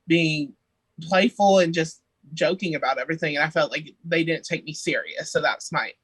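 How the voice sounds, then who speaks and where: A man's voice: a little high, normal speed, fairly bright tone, breathy edge, dry recording, rough recording. A young man, a singer, chatting playfully as a podcast guest and laughing often. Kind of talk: casual interview chat.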